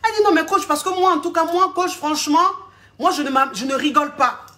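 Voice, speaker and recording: A woman doing a touchy, fierce young woman who repeats herself insistently and keeps pushing back, her voice pitched noticeably high. She sounds offended and proud, as in a spoken advice vlog. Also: brisk, bright, forceful tone. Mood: indignant.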